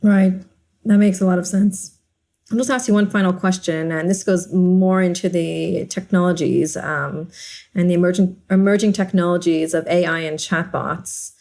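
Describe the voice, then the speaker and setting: Low, very mellow woman interviewer. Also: steady tone, moderate pace. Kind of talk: conversational interview questioning.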